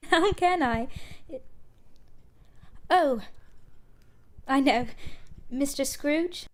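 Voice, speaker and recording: An actress playing a light-hearted young wife in a vintage-style radio drama. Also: female voice, high voice, very slow. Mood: playful.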